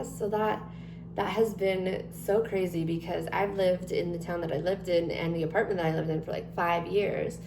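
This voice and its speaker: Twenties woman, slightly low voice, relaxed rambling vlog talk; roomy echo and background hum. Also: creaky. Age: 20s. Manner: chatty, rambling with many ums and likes. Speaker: vlogger. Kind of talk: casual chat to camera. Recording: echoey room with noticeable hum.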